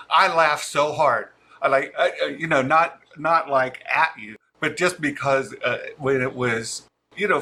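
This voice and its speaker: A man with a mid-range, bright voice hosts an interview with open enthusiasm. His talk is casual and eager. Light background noise runs under it. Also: medium pitch. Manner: enthusiastic, fan-like.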